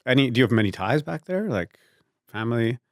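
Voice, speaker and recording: A man's voice: mid-range voice, sing-song, a little fast, no echo, studio quality. A man hosting an interview show, asking curious, conversational questions with hesitant restarts. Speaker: podcast host.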